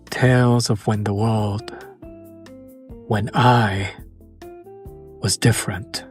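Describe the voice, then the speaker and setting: Man acting as courtly male vampire, unhurried pace, medium pitch, tender and wistful, formal old-fashioned wording, romantic audio roleplay, music behind. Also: close to an everyday voice. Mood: wistful, reluctant. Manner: formal, archaic wording, measured and flirtatious.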